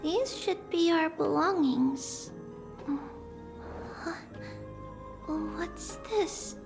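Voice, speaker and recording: Little immortal girl, video game character, wistful and gentle, very high voice, slight creak, reflective. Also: exaggerated voice. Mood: curious. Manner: unhurried, reflective, speaks of herself as "we". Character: small childlike demigod girl, wistful, gentle, older than she looks. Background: background music.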